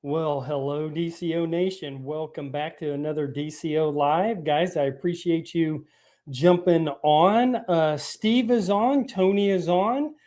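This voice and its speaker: A man's voice: on the high side, unhurried, mellow. A man hosting a live fishing show, his voice froggy from a lingering cough, talking loosely with many ums and restarts. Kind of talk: live stream show talk. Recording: live stream broadcast.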